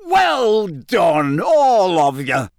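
A man's voice: high-pitched, sing-song, a little slow, no echo, studio quality, voice pushed up. A man voicing a jovial, effusive middle-aged official hosting a contest in an audiobook. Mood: jovial, brisk. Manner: effusive, announcing.

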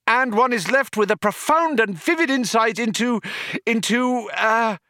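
A man voicing a nervous, eager human fellow who flatters and flounders in a bright, gushing storybook voice. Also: sits high, a little slow, no room sound, studio quality, voice pushed up, heavily acted. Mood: triumphant gushing.

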